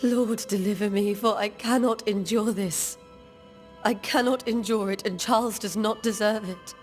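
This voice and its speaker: Woman acting a genteel colonial wife, grieving, devout, formal measured phrasing, video game drama, mid-pitched, music underneath. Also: steady tone, natural voice. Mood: anguished.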